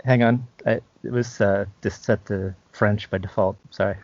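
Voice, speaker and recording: A man's voice: fairly low pitch, fairly even intonation, normal speed, darkish tone, no echo, highs cut off. A man chatting casually as a podcast co-host, laid-back and joking. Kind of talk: casual chat.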